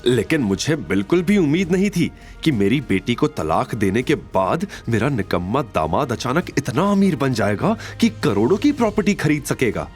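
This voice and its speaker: A man's voice: medium pitch, sing-song, moderate pace, hardly any pauses, soft background music, pitched above the performer's own voice, natural voice. A man voicing a spiteful, mocking middle-aged mother-in-law in an audio drama. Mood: loud scorn. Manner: mocking, scornful, shouting.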